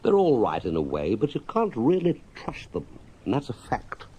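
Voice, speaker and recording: A man voicing a brisk, friendly, excitable water rat in a children's storybook, with hearty, chatty gentlemanly talk. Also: mid-range voice, slightly rough, dull audio. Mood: matter-of-fact. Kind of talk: children's storybook character reading.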